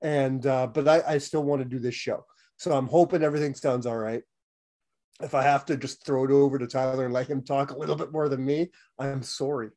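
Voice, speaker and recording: A man's voice: medium pitch, fairly even intonation, a little fast, mellow. A man in his thirties co-hosting a casual wrestling podcast while sick and losing his voice, rambling with lots of fillers and restarts. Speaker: podcast co-host.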